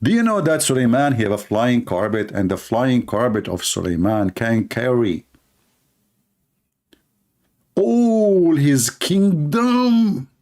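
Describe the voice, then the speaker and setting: A man's voice: medium pitch, expressive, a little slow, hardly any pauses, a little mellow. A man hosting a live stream, talking in a sarcastic, mocking way and mimicking others in funny voices. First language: Arabic.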